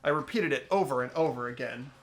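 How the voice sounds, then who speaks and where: A man's voice: medium pitch, quick pace, fairly bright tone, faint music behind, close to an everyday voice, poor audio. A man playing an earnest, anguished teenage boy who narrates a fan-voiced game dramatically. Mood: shaken, somber.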